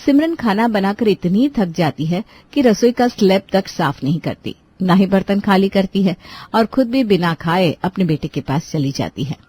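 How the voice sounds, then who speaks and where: A woman storyteller reading scripted narration for an animated family story. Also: medium pitch, moderate pace, slightly rough.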